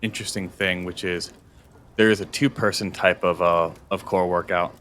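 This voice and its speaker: Low, bright-voiced male streamer. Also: fairly even intonation, normal speed, slight background noise. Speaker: streamer and fitness coach. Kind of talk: casual chat on a game stream.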